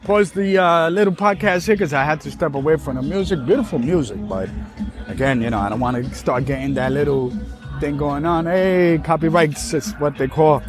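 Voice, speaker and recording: A man's voice: on the high side, moderate pace. A man from Puerto Rico, hosting a podcast in a loose, emphatic, street-casual way at an outdoor street festival. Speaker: podcast host. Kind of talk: casual solo podcast monologue. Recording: faint festival music in the background. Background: faint music.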